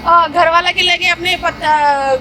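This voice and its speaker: Very high-pitched Bhojpuri nagging wife played by a woman. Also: fairly even intonation, a little slow, crowd noise. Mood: insistent, aggrieved. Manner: complaining, insistent, repeating herself. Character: nagging, suspicious, jealous village wife with children. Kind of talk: comedy skit dialogue as a phone quarrel.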